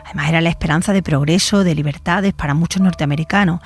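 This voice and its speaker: A woman's voice: sits low, fast. A woman presenting scripted documentary commentary as a journalist. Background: faint music.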